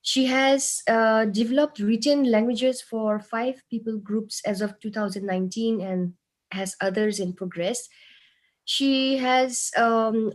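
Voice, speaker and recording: A woman's voice: on the high side, darkish tone. Woman hosting an online session. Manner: slow, reading out with fillers. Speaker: session host. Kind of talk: formal introduction of a speaker. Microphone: video call.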